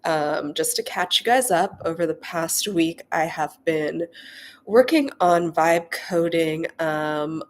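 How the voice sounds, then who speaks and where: A young woman with a cold and a nasal voice, chatting casually on a coding livestream with lots of filler ums. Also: deep voice. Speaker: livestreaming coder.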